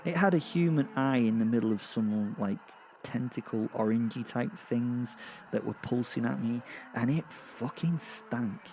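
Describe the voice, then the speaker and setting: A man playing a gleeful, foul-mouthed werewolf who narrates a dark comic horror audio drama in a rambling, conversational, sardonic way. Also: medium pitch, unhurried, a little hiss or hum, lightly acted. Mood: wry, bemused. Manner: rambling, sardonic, sweary asides with sighs and chuckles. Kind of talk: first-person storytelling, conversational dark comedy.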